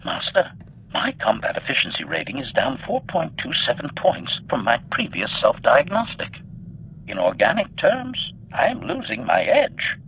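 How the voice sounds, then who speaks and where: A man's voice: medium pitch, a bit bright, slight background noise, poor audio. A man voicing a menacing, coldly logical combat droid that speaks in formal, clinical statements, with robotic processing, for a video game. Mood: formal complaint. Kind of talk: video game character acting.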